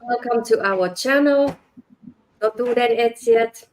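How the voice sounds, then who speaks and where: Slow-spoken woman host, a bit high. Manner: careful, welcoming introduction. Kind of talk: live stream introduction.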